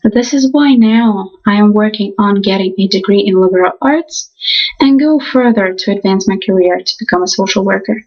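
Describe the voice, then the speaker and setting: Earnest young woman, halting restarts. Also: medium pitch, darkish tone, slightly rough, highs cut off. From Ukraine. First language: Russian. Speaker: college student. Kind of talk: reading a class presentation.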